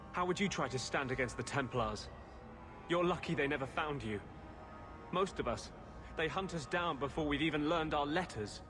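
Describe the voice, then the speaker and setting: A man's voice: on the high side, lightly acted. A man playing an earnest, idealistic and bitter rebel mage in a video game, with a confiding manner. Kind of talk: dramatic video game acting.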